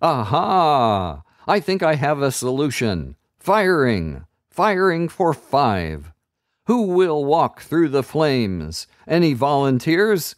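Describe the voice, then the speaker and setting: A man's voice: on the high side, very animated, takes it slowly, dry recording, clean studio sound, pitched above the performer's own voice. A man doing a shrieking, gloating fire wizard. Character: tyrannical fire wizard, tall male enchanter, raging.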